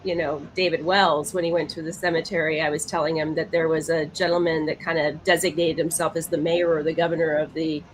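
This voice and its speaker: A woman's voice: sits low, level tone, fairly quick, hardly any pauses, low-quality recording. A woman co-hosting a podcast, chatting casually and emphatically, repeating herself for stress. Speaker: podcast co-host. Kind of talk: casual chat. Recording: faint background noise.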